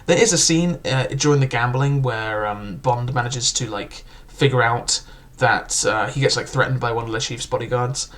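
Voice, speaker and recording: A man's voice: medium pitch, normal speed, hardly any pauses, fairly bright tone. Young man giving a rambling book review. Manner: chatty, full of "like" and "um" fillers. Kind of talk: casual spoken book review.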